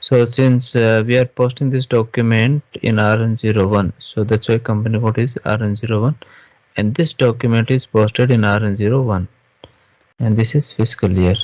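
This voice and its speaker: A man teaching software step by step in an informal screen-share tutorial, halting and often checking understanding with questions. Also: on the low side, steady tone, no echo. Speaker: SAP software trainer. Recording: dull, lo-fi online class audio.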